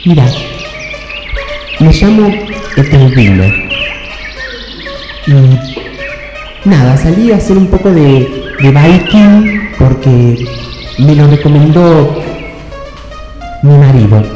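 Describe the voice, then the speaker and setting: Radio-play rich wife , done by a man: bored, chatty, Argentine, high voice, echoey hall with music. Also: lively intonation, slow pace, long pauses. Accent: Rioplatense Argentine.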